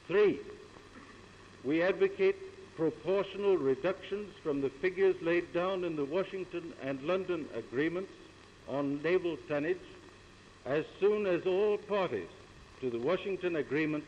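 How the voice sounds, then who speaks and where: In a muffled old 1930s newsreel recording with long hall reverb, an American man delivers a formal conference speech. He speaks in measured, declamatory phrases, as a delegate at a podium. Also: sits high, slight background noise. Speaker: government delegate.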